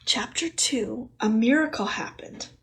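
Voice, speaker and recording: Woman, higher-pitched voice. Children's storytime host: picture-book read-aloud, chatty asides, simple child-directed delivery. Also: unhurried, a bit bright.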